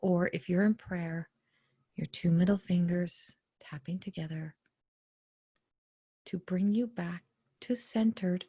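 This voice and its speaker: Woman, meditation guide, soft and breathy, near whisper, dark relaxed tone, gentle, unhurried, short phrases with pauses. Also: lowish voice, dry recording. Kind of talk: guided meditation, soothing spoken instruction.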